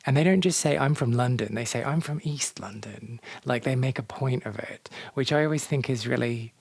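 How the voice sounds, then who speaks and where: Wry podcast host with a gravelly edge. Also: mid-range voice, moderate pace, a little mellow, no echo. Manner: wry, self-deprecating asides. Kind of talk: scripted podcast intro, then casual chat.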